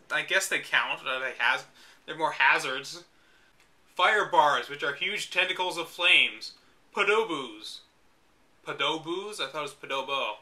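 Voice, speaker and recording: A man with a high, very bright voice reads from a book, stopping often for sardonic, exasperated remarks. His asides are dry and mocking. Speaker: reader and commentator. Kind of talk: reading a book aloud with sarcastic commentary.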